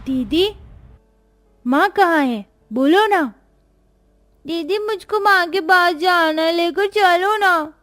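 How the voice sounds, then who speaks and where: An innocent little orphan girl , voiced by a woman in a cartoon tale. Her voice is very high and childlike, that of a wide-eyed younger sister. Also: slow pace, pauses often, fairly bright tone, soft background music, full character voice. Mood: pleading. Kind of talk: cartoon story dialogue.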